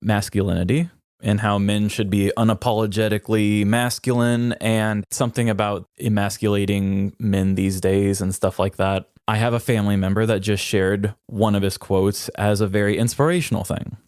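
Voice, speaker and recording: A man with a fairly low voice talks personally and conversationally as a podcast co-host. He sounds earnest and feels his way toward the right words. The sound is close and dry. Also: level tone, moderate pace, darkish tone, smooth, no echo.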